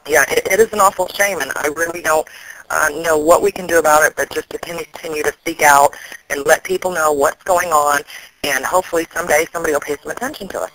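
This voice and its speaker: A man, an activist, explaining things in a serious phone conversation, often halting and restarting. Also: on the high side, sharp tone, rough recording.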